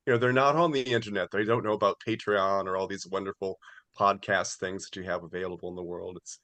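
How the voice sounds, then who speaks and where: Older man, halting storyteller, dry close sound. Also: low-pitched, steady tone, fairly bright tone, dry recording. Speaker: playwright being interviewed. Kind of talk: casual interview talk.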